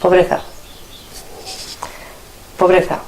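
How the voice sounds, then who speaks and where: A woman taking part as a client in a healing session, repeating single words in a steady monotone. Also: slow, noisy background.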